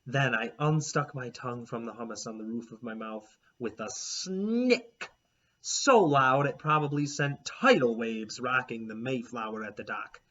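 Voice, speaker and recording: A man, a teacher, reading a children's chapter book aloud with expressive, animated storytelling. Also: mid-range voice, unhurried. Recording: dull lo-fi recording.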